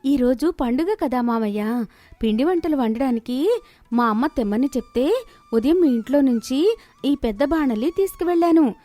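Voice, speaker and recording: A woman acting a high, mellow young village daughter. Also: expressive, moderate pace, no echo, soft background music. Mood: feigned humility. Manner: respectful, sweetly reassuring.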